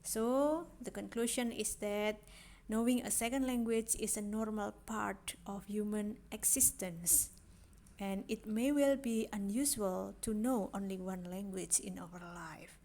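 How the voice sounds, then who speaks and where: A woman lecturer with an Indonesian accent talks slowly and patiently through her lesson. Her voice is mellow and soft-edged, and her pitch sits in a middle range. She keeps checking in with her listeners through repeated "yeah"s and rhetorical questions. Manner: unhurried, repeats points, asks rhetorical questions with frequent "yeah". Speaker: language lecturer. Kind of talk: recorded online lecture. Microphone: screen recording on a phone.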